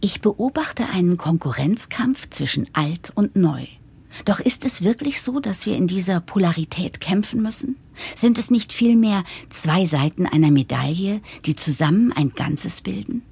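Composed office reformer, lowish husky voice, very lively intonation, earnest, formal, audio drama. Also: female voice, normal speed, full character voice. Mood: reflective, earnest. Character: earnest, composed woman narrator championing change, jargon-heavy. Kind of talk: first-person audio drama narration.

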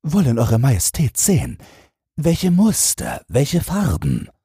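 A crooked tailor from a storybook, squeaking far above a normal male register. The delivery is oily and boastful, stretching out showy flattery with a husky edge and big swoops. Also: no echo, clean studio sound, exaggerated voice. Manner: fawning, drawn-out showy praise. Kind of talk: fairy-tale audiobook character voice.

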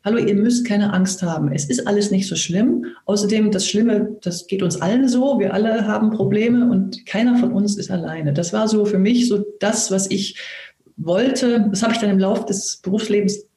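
A middle-aged woman, an actress, speaking reflectively and searchingly in conversation, with many pauses. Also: medium pitch, echoey room. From Germany. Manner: thoughtful, searching, soft-spoken with many pauses. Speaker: actress and co-host.

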